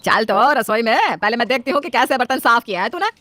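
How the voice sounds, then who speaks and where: Woman doing a naggy bossy saas in a family story, high pitched and sharp, scolds everyone. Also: expressive, quick pace, dry recording. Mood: critical. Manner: scolding, demanding. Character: bossy, fault-finding, stingy middle-aged Indian mother-in-law.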